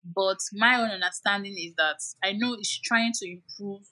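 A woman's voice: mid-range voice, normal speed, pushed and bright, rough recording. A woman guest explaining things in a casual chat, with lots of "like" fillers.

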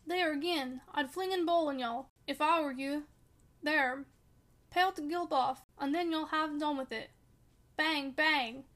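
A woman voicing a grumbling, pious old male servant with a put-on Yorkshire dialect, sneering and scolding in a slow drawl. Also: sits high, a bit bright.

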